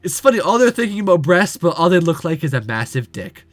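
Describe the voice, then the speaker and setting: A man's voice: high-pitched, very animated, fast, no echo, soft background music. Rambling, joking male game streamer. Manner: rambling, joking, many fillers. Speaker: let's play host. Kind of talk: casual visual novel playthrough commentary.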